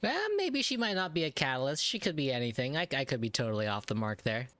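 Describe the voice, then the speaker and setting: Very low-voiced woman gamer, slangy and casual. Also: big pitch swings, quick pace, fairly bright tone, no echo. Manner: slangy, irreverent. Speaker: gaming streamer. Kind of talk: casual gameplay reaction commentary.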